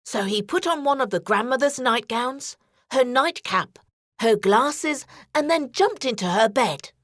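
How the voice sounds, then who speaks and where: A woman narrating a scripted children's story in an expressive, deliberate way. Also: fairly high pitch, no echo, clean studio sound.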